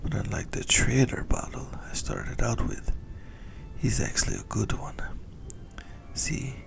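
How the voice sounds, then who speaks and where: A man voicing a possessive, centuries-old vampire lover in a whispered ASMR roleplay, smugly menacing and intimate, with a breathy, gravelly delivery. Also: fairly low pitch, huge pitch swings, a little slow, heavily acted. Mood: casual, savoring.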